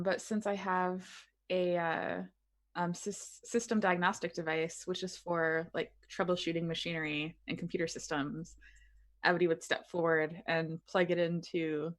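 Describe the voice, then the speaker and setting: A woman with a slightly low voice plays a tabletop roleplaying game. She talks casually, explaining her character's actions as she goes. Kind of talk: casual game chat and narration.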